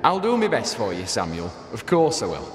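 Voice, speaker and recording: A loyal, deferential frontier marksman in a game. His pitch moves in a lively way, and the sound has long reverb and clear noise. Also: fairly quick. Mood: earnest.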